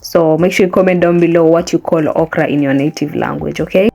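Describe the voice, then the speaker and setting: A young woman whose first language is Swahili speaks in a mellow, slightly low voice, chatting casually and earnestly from a small bedroom. She keeps coaxing her viewers along with friendly check-ins. Faint music plays underneath. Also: steady tone, a little fast, vocal fry. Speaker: hair care vlogger. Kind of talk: casual how-to chat to camera.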